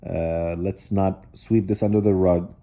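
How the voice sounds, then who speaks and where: A man reading a children's storybook aloud in an animated style, breaking off for wry, casual asides. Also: deep voice, a little slow. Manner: wry, digressive commentary. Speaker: storybook reader.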